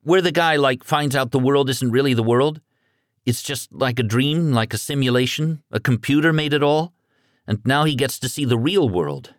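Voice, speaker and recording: A man's voice: mid-range voice, dry recording, studio quality, pitched above the performer's own voice, close to an everyday voice. A man voicing a scared, shaken teenage boy who rambles in panic. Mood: rambling. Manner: rapid, rambling, voice rising.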